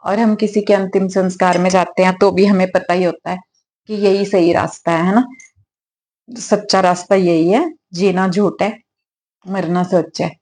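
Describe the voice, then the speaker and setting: A woman with a slightly hoarse throat, clearing it now and then, talking gently and affectionately in a casual devotional vlog at her home altar. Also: lowish voice, level tone, moderate pace. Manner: gentle, affectionate, preachy at times. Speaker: devotional vlogger.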